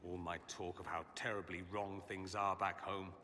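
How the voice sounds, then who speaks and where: An actor playing a witty, theatrical mage and homesick idealist in a dramatic game scene, with wry, polished delivery. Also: male voice, low voice, level tone, moderate pace, pushed and bright, long reverb tail, slight background noise. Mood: brooding, earnest.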